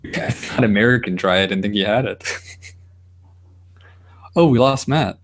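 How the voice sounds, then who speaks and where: A man's voice: lowish voice, measured pace. A young man co-hosting a casual podcast, rambling, laughing and swearing as he talks. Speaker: podcast co-host.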